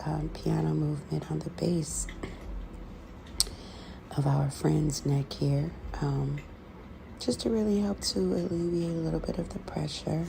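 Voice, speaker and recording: A woman with a gravelly, creaky voice giving a halting, conversational explanatory voiceover as the host of an ASMR video. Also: low-pitched. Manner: unhurried, conversational, halting with pauses. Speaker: host, scratch therapy practitioner. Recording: clearly audible background noise.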